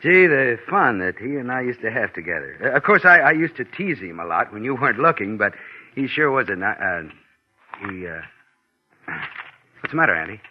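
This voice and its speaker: An actor playing a scheming, slangy, smooth-talking impostor in a 1940s style radio suspense drama. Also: male voice, mid-range voice, sing-song, moderate pace. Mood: nervous bluffing. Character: scheming male impostor posing as an heiress's nephew. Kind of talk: 1940s radio suspense acting, first-person narration. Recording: muffled old radio recording, music behind.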